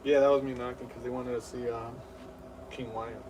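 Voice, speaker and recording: A man's voice: mid-range voice, little pitch movement, moderate pace, recorded from a distance, heavy background noise. Rambling man streaming a football game. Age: 30s. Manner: rambling, many fillers, hyped play-by-play. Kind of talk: live game stream commentary.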